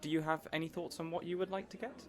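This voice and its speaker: A man's voice: on the high side, steady tone, racing, natural voice. A man playing an affable, eager supervillain boss. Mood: cheerful, eager. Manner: awkwardly earnest, chatty, playfully bossy. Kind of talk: audio roleplay acting addressed to listener.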